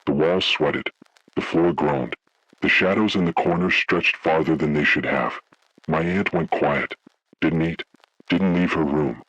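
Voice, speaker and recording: A man voicing a haunted, grave survivor, narrating a scripted first-person horror story in slow, deliberate, ominous phrases with a gravelly, husky voice. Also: extremely low pitch, fairly bright tone, no room sound, heavily acted, rough recording. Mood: grave dread. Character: haunted man recounting childhood motel horror, grave, dread-filled.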